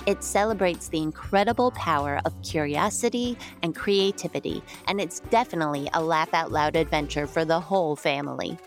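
A woman's voice: deep voice, normal speed, few pauses, faint music behind. A woman presenting a scripted but chatty ad for children in a playful, conversational manner. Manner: playful, conversational, addressing kids.

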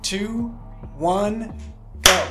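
Higher-voiced man hosting a casual wrap-up. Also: extremely slow, loud music behind the voice. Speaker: host, show creator.

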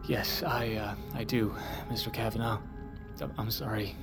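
Hesitant male crewman: deferential, fairly low register, audio fiction over clear score. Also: fairly even intonation. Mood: nervous. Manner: halting, deferential. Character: nervous, apologetic crewman, hesitant before his superior. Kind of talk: audio fiction drama acting. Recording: dramatic music behind the voice.

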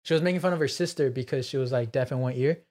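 A man hosting a podcast in casual, sweary, excitable storytelling style with bits of Spanish slang. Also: medium pitch, racing. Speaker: podcast host. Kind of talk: casual, profane podcast banter.

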